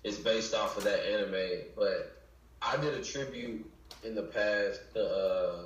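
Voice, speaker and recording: A man's voice: fairly low pitch, measured pace. Man, musician guest, from Chicago, laid-back, slangy, distant mic, dull lo-fi sound. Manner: laid-back, slangy, says "you know what I'm saying" often. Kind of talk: casual interview chat. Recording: echoey lo-fi room, voice from off-mic.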